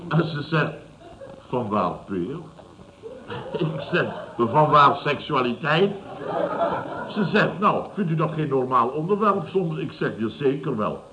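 A man's voice: on the high side, expressive, slow, a crowd in the background. An actor in his fifties playing a self-satisfied, blustering building boss in a vintage radio comedy, chuckling and repeating himself. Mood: indignant, amused. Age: 50s. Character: gruff, self-satisfied older male building boss telling tales. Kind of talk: 1970s Dutch radio comedy acting. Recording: muffled, noisy vintage radio recording.

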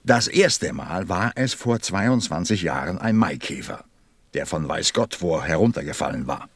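An actor playing a busy, self-important middle-aged businessman in a radio play, with a gravelly, husky voice and a testy, muttering manner. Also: low voice, lively intonation, normal speed, dry recording, clean studio sound, exaggerated voice. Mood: irritated, pompous. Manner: pompous, testy, muttering, swooping. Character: busy, self-important 54-year-old businessman counting stars.

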